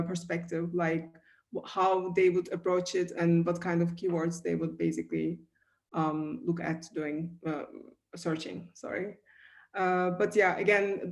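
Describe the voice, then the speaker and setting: Flat-voiced panelist from Turkey. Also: medium pitch. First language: Turkish. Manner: thoughtful, searching for words with fillers. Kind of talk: webinar panel discussion.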